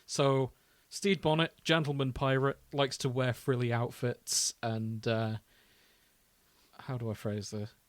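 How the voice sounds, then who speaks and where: A man's voice: medium pitch, little pitch movement, slow pace, dry recording. Man casually explaining, dry close sound. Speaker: podcast co-host. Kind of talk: casual chat about a TV show.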